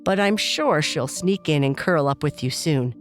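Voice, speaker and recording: A woman voicing a gentle, patient and loving father bear in a children's bedtime story, reassuring and playful. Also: low-pitched, expressive, normal speed, faint music behind.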